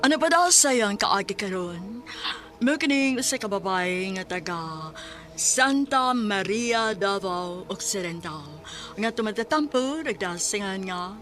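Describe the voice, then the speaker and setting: A woman's voice: mid-range voice, lively intonation, unhurried, a bit bright. Woman narrator over music. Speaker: radio drama narrator and host. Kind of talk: scripted narration. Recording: music bed under voice.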